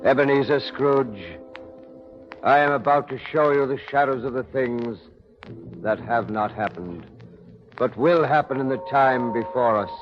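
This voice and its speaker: A solemn male phantom played by an actor in a 1930s radio play, slow and portentous. The sound is muffled and vintage, with prominent music behind the grave, ominous words. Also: mid-range voice, natural voice. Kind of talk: 1930s radio drama acting.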